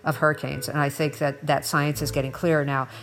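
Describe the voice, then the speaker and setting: Very low-voiced American woman, reflective. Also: little pitch movement, creaky, a bit bright. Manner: reflective, halting, repeats words. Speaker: podcast co-host. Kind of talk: conversational current-events discussion.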